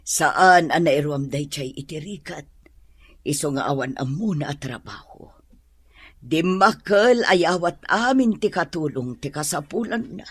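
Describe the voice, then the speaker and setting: A woman playing a soft-hearted middle-aged mother in a drama, with an Ilocano Filipino accent and a pleading, halting delivery. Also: sits low, animated, breathy edge, dry recording, exaggerated voice. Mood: sorrowful, pleading. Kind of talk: Ilocano audio drama acting.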